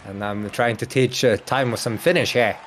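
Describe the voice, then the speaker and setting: A man's voice: medium pitch, moderate pace, soft background music. A man from Sweden, commentating an online hockey match in English and chatting with viewers. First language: Swedish. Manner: chatty, laughs often, reads out chat. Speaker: esports commentator. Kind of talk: play-by-play commentary.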